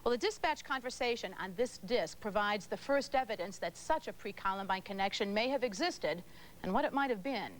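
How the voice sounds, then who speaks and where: A woman's voice: mid-range voice, animated, brisk, a little hiss or hum, poor audio. American woman reporting television news. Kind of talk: broadcast news report narration.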